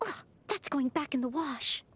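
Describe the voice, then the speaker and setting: A woman as a very high-pitched earnest young maid knight. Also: moderate pace, a little hiss or hum, natural voice. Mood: earnest, dutiful. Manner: earnest, polite, theatrical delivery. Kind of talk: anime video game character voice lines. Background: music.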